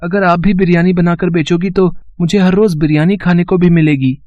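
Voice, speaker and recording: A man's voice: high-pitched, steady tone, normal speed, a little mellow, no echo, lo-fi sound, close to an everyday voice. A man voicing an innocent young son in a cartoon story, childlike, earnest and curious. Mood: pleading. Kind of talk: cartoon moral story acting.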